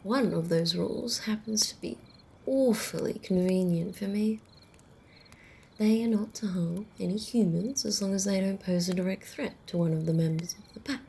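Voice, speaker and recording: Woman acting as ancient female vampire, ASMR roleplay, unhurried and wry, soft whispery delivery, gravelly creak, faint night-cricket noise behind. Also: mid-range voice, close to an everyday voice. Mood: wry, amused. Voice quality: soft, breathy, often whispered. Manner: unhurried, amused, conversational. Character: centuries-old female vampire, wry, teasing, casually menacing.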